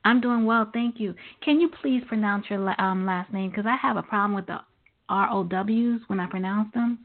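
A woman hosting a live internet talk radio show with a halting, reflective delivery. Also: mid-range voice. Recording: phone-like lo-fi internet radio line.

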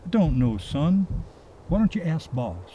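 An actor playing a wry, genially tough 1890s logging-camp paymaster in a period drama, folksy and needling. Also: male voice, fairly high pitch, sing-song, measured pace, dark tone, a little hiss or hum. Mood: amused, wry.

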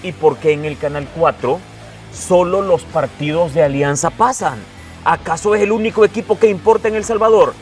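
A man's voice: on the high side, moderate pace, faint music behind. A man hosting a casual sports chat, playful, laughing often and reading viewer questions aloud. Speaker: host.

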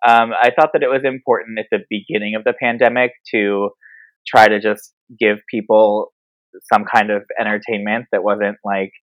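Laid-back guest on a dull call line. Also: male voice, lowish voice, flat delivery, normal speed, dry recording. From Philadelphia area. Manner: laid-back, lots of "like", laughs easily. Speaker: podcast guest, drag performer. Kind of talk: casual interview answers.

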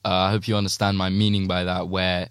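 A man hosting a podcast, asking questions in a halting way with many ums and trailing phrases. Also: sits low, flat delivery, smooth, no room sound, clean studio sound. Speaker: podcast host. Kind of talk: casual interview questions.

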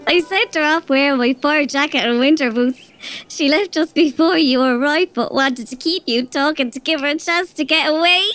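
A woman's voice: sits high, moderate pace, a bit bright. A woman playing a cocky Irish robber girl. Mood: bossy. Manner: bossy, threatening, blunt. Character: tough cocky robber girl, bossy and blunt. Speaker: narrator. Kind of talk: audio drama acting.

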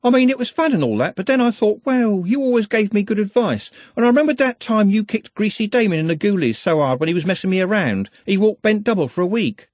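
A woman's voice: mid-range voice, expressive, fast, few pauses, darkish tone, no room sound, voice pushed up, close to an everyday voice. A man voicing a chatty, eager young working-class woman who rambles in long run-on sentences. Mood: earnest rambling.

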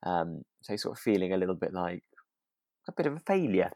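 A man co-hosting a podcast in relaxed, casual chat, hesitant and rambling as he softens his points. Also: deep voice, fairly quick, dry recording, studio quality. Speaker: podcast co-host. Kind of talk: relaxed, casual chat reviewing a radio drama.

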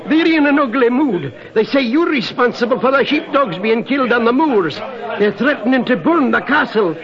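A muffled old radio recording with a crowd behind. a very high-voiced Scottish servant, urgent, anxious and deferential to his master. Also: animated, moderate pace. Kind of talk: 1940s radio mystery acting.

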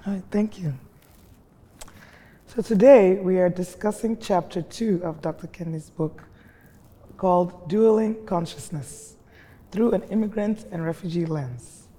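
A woman hosting a panel discussion in a large library reading room, speaking in a measured way and reading definitions carefully. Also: on the low side, dark tone. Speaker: host and immigration manager. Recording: long reverb of a large hall.

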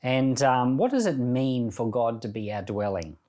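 A middle-aged man with a medium-pitched voice teaches from the Bible. He speaks earnestly and conversationally, setting out his phrases with deliberate care as he reads and comments. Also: expressive, mellow. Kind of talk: Bible reading with devotional commentary.

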